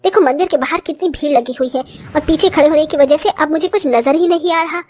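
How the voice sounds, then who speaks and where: A woman voicing a short older village mother-in-law in a cartoon story, complaining and exclamatory but grudgingly soft-hearted. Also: high-pitched, brisk, close to an everyday voice. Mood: scolding. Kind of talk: Hindi cartoon story voice acting.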